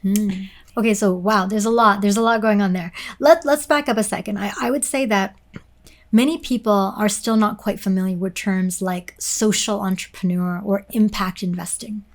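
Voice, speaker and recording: A woman's voice: medium pitch, fairly even intonation, moderate pace. Upbeat woman hosting a podcast. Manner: friendly, enthusiastic, with stammered restarts. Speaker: podcast host.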